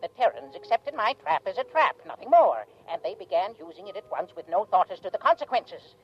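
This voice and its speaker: A scheming alien of vintage radio drama. The delivery is formal, self-pitying and theatrical, with pitch that sweeps widely up and down. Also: female voice, very animated, fairly quick, dull audio. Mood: smug, scheming. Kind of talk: 1950s radio acting, scripted narration.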